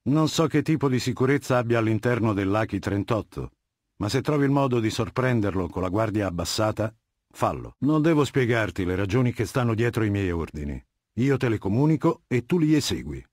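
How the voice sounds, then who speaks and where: An actor playing a ruthless middle-aged warlord dictator in a video game, speaking in an imperious, measured, menacing manner with a husky voice. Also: male voice, fairly low pitch, expressive, a little mellow, no room sound, clean studio sound. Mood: stern, imperious. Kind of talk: dubbed video game dialogue.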